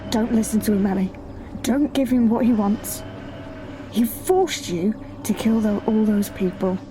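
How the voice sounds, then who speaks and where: A woman's voice: mid-range voice, a little slow, background noise, lightly acted. A young woman playing a loyal, protective college student in an amateur audio drama, speaking with urgent indignation. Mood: pleading, indignant. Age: young adult. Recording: music behind the voice.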